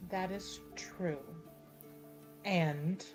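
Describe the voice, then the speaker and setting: A woman's voice: on the low side, very slow, over music. A woman playing a composed, devoted noblewoman in a fantasy tabletop game, speaking formally and carefully. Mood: composed, earnest.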